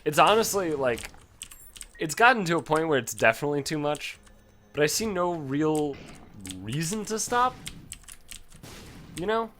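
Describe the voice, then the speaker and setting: A man casually commentating his own gameplay, swearing freely and bursting into frustrated yells. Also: on the high side, lively intonation, a little slow, pauses often, a little hiss or hum. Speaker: gaming commentator. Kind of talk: casual let's play commentary.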